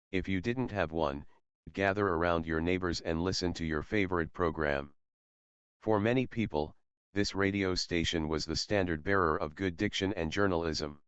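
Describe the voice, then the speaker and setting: A man's voice: low voice, a bit bright, clear, resonant tone, no room sound, muffled recording. A man reading a scripted documentary narration.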